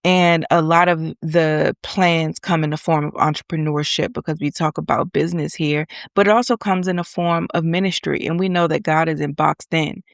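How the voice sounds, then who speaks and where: A woman's voice: low-pitched, steady tone, creaky, no room sound, clean studio sound. A woman in her thirties hosting a faith podcast, a little congested from allergies, talking in an earnest, encouraging way. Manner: earnest and encouraging, sometimes slow and emphatic. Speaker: podcast host. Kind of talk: faith-based encouragement monologue.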